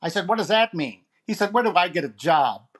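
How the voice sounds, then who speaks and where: A man acts out a wisecracking male comedian of 1940s radio. The voice sits high for a man and delivers topical gags in monologue form, setup and punchline. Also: big pitch swings, fairly quick, fairly bright tone, exaggerated voice. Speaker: actor playing a comedian host.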